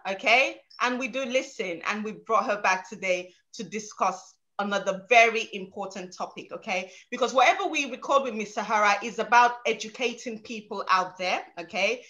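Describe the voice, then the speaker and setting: A woman's voice: medium pitch, pushed and bright, poor audio. A young adult woman hosting an interview show over a video call, emphatic and forever tagging her lines with "okay?". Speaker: show host. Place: home room, indoors.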